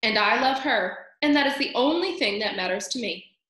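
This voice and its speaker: Storybook role: little lovestruck boy mouse played by a female reader, sincere, deferential, raised pitch, roomy sound. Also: animated, normal speed, a bit bright. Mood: earnest, lovestruck. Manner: earnest, deferential. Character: small, dreamy, lovestruck young male mouse, earnest and polite.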